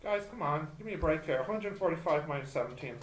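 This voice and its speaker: A man's voice: fairly high pitch, expressive, quick pace. A man tutoring maths informally, thinking aloud as he works sums, self-deprecating and often asking checking questions. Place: indoor tutorial room with students. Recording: echoey room with noticeable noise.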